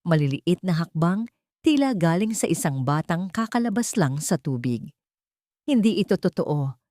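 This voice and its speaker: A woman's voice: lowish voice, sing-song, normal speed, dark tone, no echo, studio quality. A woman narrating a scripted first-person story in a dramatic, suspenseful and emotive way.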